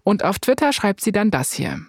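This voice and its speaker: A woman's voice: medium pitch, big pitch swings, moderate pace, gravelly, dry recording, studio quality. A young woman hosting a podcast, telling a scripted story in a colloquial, wry way with casual asides and bits of English slang. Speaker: podcast host. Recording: music bed behind the voice. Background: music.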